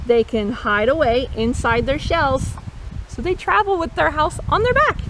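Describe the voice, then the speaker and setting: A woman hosts a nature storytime for young children in a fairly high, very lively voice. She reads slowly and playfully, drawing listeners in with questions. There is some outdoor background noise with faint rustling. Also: low-quality recording. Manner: expressive, playful, asks listeners questions, imitates animal sounds. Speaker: storytime host, nature educator. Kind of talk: read-aloud children's nature storytime with asides.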